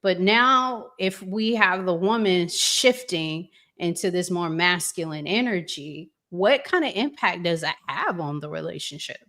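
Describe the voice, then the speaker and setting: A woman hosting a podcast, interviewing in a conversational, deliberate, question-led way. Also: on the low side, lively intonation, fairly bright tone. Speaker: podcast host.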